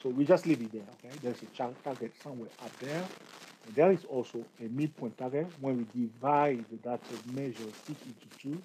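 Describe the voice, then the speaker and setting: A man's voice: mid-range voice, a little fast, mellow, low-quality recording. Chatty man teaching from charts. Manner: chatty, repetitive, asks the viewer questions; jokes and laughs at himself. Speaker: trading tutor. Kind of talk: screen-share chart tutorial. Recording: faint background noise.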